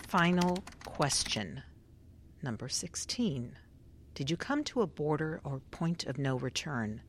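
An actress playing a formal, probing government researcher in a radio drama, with clipped, measured questioning. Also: female voice, low-pitched, animated, heavily acted. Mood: clinical, procedural. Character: controlled, probing female government researcher running a clinical survey.

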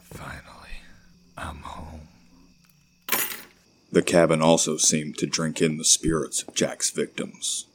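A man hosting and narrating a horror audio story with deliberate, measured phrasing. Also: rumbling low, flat delivery, a little hiss or hum. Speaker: podcast host and audio drama narrator.

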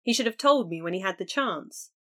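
A woman's voice: medium pitch, a little fast. A woman reading an audiobook, voicing a weary, guarded young woman thief who answers curtly. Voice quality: flat, curt and reluctant. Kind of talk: audiobook character voice.

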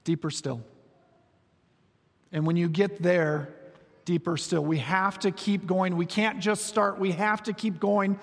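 High-voiced man preaching in a hall. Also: creaky. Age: 40s. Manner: storytelling with humor, shifting from soft and slow to quick and lively. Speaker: pastor. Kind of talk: sermon. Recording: long reverb of a large hall.

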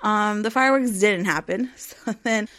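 A woman's voice: mid-range voice, moderate pace, a bit bright. A woman in her thirties hosting her own podcast, chatting casually and rambling, with lots of "um" and "like.". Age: 30s. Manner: rambling, heavy with "um" and "like". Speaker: podcast host. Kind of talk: casual solo podcast chat.

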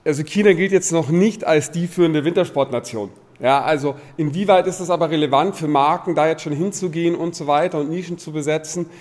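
Male audience questioner from Munich, quick and chatty, fairly high voice, reverberant hall. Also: darkish tone. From Munich, Germany. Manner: chatty, loose, self-correcting. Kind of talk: posing a question at a panel. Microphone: handheld mic.

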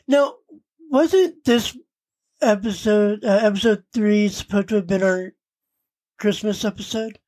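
A woman co-hosts a casual podcast recap. She speaks at a slow pace with a medium pitch. Her delivery is halting, as she often searches for the next word. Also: dark tone, poor audio. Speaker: podcast co-host. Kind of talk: casual chat recapping an anime parody.

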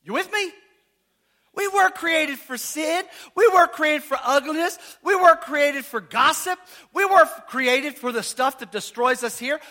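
A man preaching a sermon as pastor, casual and joking, asking the congregation questions, rising to shouts. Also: normal speed. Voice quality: high voice.

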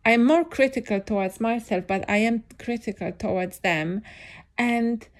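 A woman's voice: fairly high pitch, normal speed. A young woman hosting a podcast, speaking English as a second language in a reflective personal monologue, thoughtful and hesitant with many restarts. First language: not English. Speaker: podcast host.

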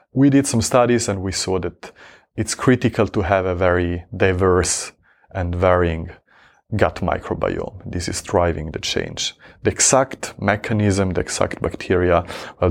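A man's voice: deep voice, vocal fry. A man from Switzerland, a researcher answering interview questions, talking hesitantly with many fillers and restarts. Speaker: researcher guest.

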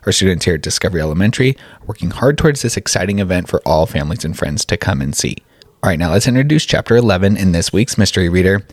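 Fast-talking, deep-voiced male podcast host. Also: lively intonation, no room sound, studio quality. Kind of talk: scripted, upbeat podcast introduction.

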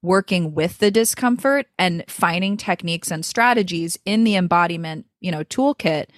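A woman's voice: fairly low pitch, moderate pace, dry recording, clean studio sound. A woman voice coach speaking as a guest in an interview, talking in a thoughtful, flowing way with frequent "like" and "you know" fillers. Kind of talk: reflective interview talk.

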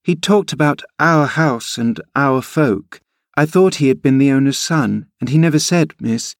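A man voicing a haughty, spoiled little girl in a storybook, imperious and childish, quick to indignant exclaiming. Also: medium pitch, dry recording, clean studio sound, pitched above the performer's own voice, lightly acted. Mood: pert, questioning.

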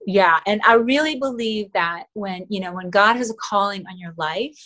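A middle-aged woman from the Philippines whose first language is Tagalog, talking earnestly as an interview guest with fillers and soft laughs. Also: medium pitch, normal speed. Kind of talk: casual interview talk.

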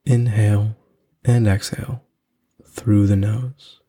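A man hosting a podcast and leading a guided meditation in a soothing, unhurried way with long pauses. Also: on the low side, flat delivery, mellow, breathy edge.

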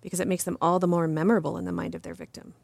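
A woman acting as an assured advocate interviewed in an audio drama, explaining things in a measured, didactic way. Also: lowish voice, level tone, gravelly, darkish tone, no echo. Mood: composed, instructive. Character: composed, assured female advocate explaining justice reform. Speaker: interview guest.

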